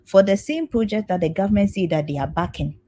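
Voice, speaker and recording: A woman's voice: medium pitch, fast talker, mellow. A woman speaking as a panel guest, persuasive and repeating phrases for emphasis. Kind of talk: discussion contribution.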